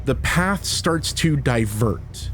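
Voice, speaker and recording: A man speaks emphatically and descriptively, as if running a tabletop game. He leaves dramatic pauses on key words, and music plays clearly behind him. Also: mid-range voice, lively intonation, a bit bright. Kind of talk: animated talk and improvised game narration.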